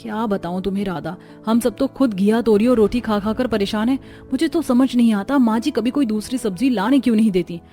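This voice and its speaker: A woman's voice: on the high side, fairly quick, hardly any pauses, dark tone, close to an everyday voice. A woman voicing a weary, complaining young daughter-in-law in a cartoon family story, over music. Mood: fed up. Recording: music under the voice.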